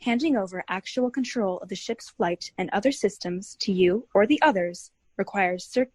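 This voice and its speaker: A woman playing a formal spaceship character in an audio drama, with a husky voice and precise wording. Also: mid-range voice, normal speed, a little mellow, no echo, lightly acted. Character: spaceship character delivering formal technical lines. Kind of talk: scripted audio drama acting, flubbed takes.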